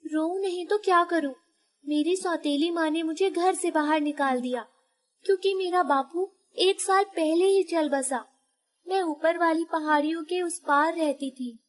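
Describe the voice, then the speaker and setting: A woman plays a distressed young village girl cast out of home. The voice is very high and plaintive, made for a storybook tale. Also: unhurried, in a large hall. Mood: tearful.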